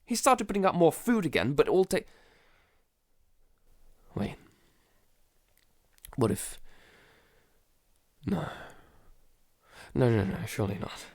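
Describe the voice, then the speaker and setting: A male actor plays a frightened, isolated man coming apart in an audio drama. His delivery is slow and halting, full of stammers and sighs, and it sinks toward whispers. The pitch moves widely, and the sound is dry, with no room on it. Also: big pitch swings, creaky, no room sound, heavily acted. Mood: paranoid, despairing. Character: paranoid isolated man unravelling under a creeping unseen menace. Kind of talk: audio drama monologue, fragmented confessional.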